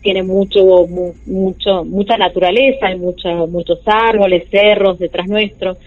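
A woman's voice: medium pitch, fairly even intonation, moderate pace. Hesitant Argentine woman on a phone line. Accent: Argentine Spanish. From Jujuy, Argentina. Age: 40s. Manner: hesitant, with frequent fillers. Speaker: caller telling a true story.

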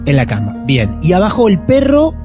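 Argentine host with a lively voice. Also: male voice, sits high, music in the background. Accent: Argentine Spanish. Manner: lively questioning, quick short backchannels. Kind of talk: casual interview.

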